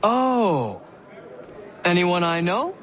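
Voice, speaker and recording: Arch higher-voiced prisoner, crowd behind. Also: male voice, big pitch swings, extremely slow, a bit bright, exaggerated voice. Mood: skeptical. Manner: arch, eloquent, theatrical. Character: wry, suspicious colonial prisoner, florid fervent patriot. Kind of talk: video game cutscene acting.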